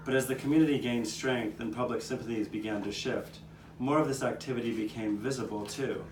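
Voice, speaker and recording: A man's voice: mid-range voice, fairly even intonation, brisk. A man performing first-person historical storytelling as the narrator of a live puppet show, playing a neighborhood eyewitness. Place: outdoor courtyard performance. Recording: roomy, distant, noisy live courtyard recording.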